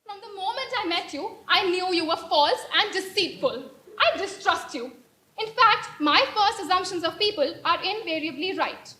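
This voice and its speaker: A woman's voice: extremely high pitch, lively intonation, normal speed, sharp tone, exaggerated voice. A young woman playing a haughty, prim Victorian society lady in a stage play, speaking formally and declaiming with indignation. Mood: haughty, accusing. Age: young adult. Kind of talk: amateur stage play performance. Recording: echoey stage hall recording.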